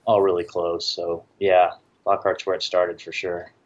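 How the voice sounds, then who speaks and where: A man's voice: deep voice, flat delivery, unhurried, dull audio. A middle-aged man from the Southern United States chatting casually as an interview guest, with a dry, joking manner. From Southern United States, born in Tennessee. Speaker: podcast guest, barbecue business owner.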